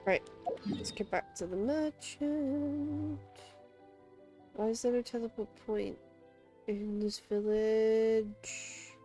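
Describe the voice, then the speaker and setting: Woman game streamer, offhand and dry, slow mellow voice, game music faint behind. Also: on the high side, fairly even intonation. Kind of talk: casual live gameplay commentary.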